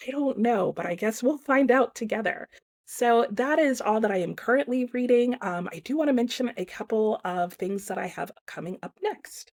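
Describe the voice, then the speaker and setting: A woman's voice: fairly high pitch, expressive, normal speed, darkish tone. A woman giving a casual, conversational book review as a vlogger, talking informally with some hedging.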